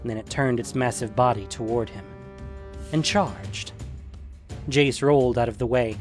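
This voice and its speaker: A man's voice: mid-range voice, unhurried, music in the background. A man narrating a scripted fantasy adventure story.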